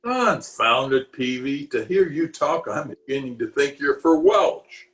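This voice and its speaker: A man's voice: mid-range voice, expressive, unhurried, a bit bright, echoey room. A man playing a pompous, easily exasperated middle-aged official in an old-time radio comedy, recorded at home over a video call. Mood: badgering. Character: blustery, exasperated middle-aged male water commissioner, pompous and opinionated.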